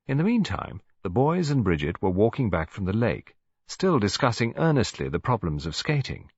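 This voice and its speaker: A man's voice: on the low side, very animated, normal speed, a little mellow, breathy edge, no room sound, muffled recording. A man with an English accent reading scripted story narration for an audiobook.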